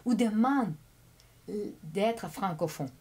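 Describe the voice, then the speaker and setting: A woman whose first language is Spanish, a guest chatting casually in an interview, often pausing, repeating words and laughing. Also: medium pitch, animated, darkish tone, slightly rough. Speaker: immigrant guest.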